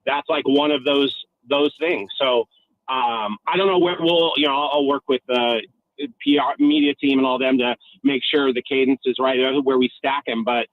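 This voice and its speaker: A man's voice: medium pitch, normal speed, dry recording, narrow, tinny audio. A man who works as an arena announcer, chatting casually as a radio guest in a self-deprecating, jokey way. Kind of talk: casual chat on a radio show.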